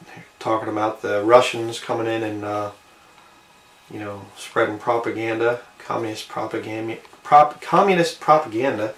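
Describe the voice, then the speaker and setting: A man's voice: fairly low pitch, fairly even intonation, moderate pace, far from the mic. A man hosting a homemade video, reading aloud from a printed page and adding musing, informal commentary. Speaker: video host reading and commenting on an old newspaper. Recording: roomy, set back from the mic.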